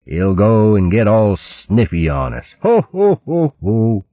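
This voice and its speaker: A man's voice: lowish voice, wildly swooping pitch, takes it slowly, dry recording, pitched above the performer's own voice, exaggerated voice. A man voicing mocking vermin pirate crewmen who cackle and taunt, on a tinny lo-fi recording. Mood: jeering. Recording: tinny lo-fi line.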